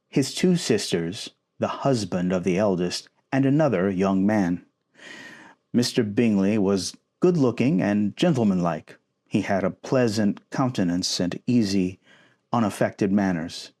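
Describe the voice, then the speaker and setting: A man narrates a classic novel in an expressive storybook style. His voice sits on the low side and moves with highly varied intonation.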